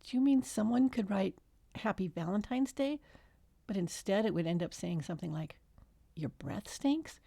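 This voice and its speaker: A woman's voice: mid-range voice, lively intonation, moderate pace, vocal fry, dark tone, no echo. A woman voicing a skeptical, matter-of-fact schoolgirl in a storybook reading. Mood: incredulous.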